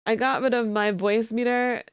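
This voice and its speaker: Sleepy girl streamer chatting w chat, kinda high voice, dry close sound. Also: steady tone, fairly quick, clear, resonant tone, dry recording. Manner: chatty, thanks viewers warmly and giggles. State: sleepy, just woke up. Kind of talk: casual live-stream chat.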